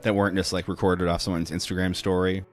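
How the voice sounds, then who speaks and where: A man's voice: low voice, little pitch movement, fast, faint music behind. A man co-hosting a podcast in casual, chatty banter. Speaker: podcast co-host.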